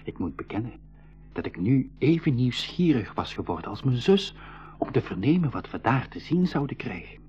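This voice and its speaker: A man playing the narrator of an adventure in an educational radio drama, with a Flemish accent and a measured, descriptive storytelling manner. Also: mid-range voice, very animated, a little mellow, lightly acted. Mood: descriptive, intrigued. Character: boy hero narrating his adventure afterwards. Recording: dull 1980s radio drama recording.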